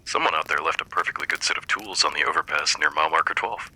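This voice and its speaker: A man playing a wry, easygoing radio host in a post-apocalyptic wasteland, delivering scripted monologue with deadpan, folksy humour. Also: very deep voice, fast talker, full character voice, poor audio. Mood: wry, upbeat.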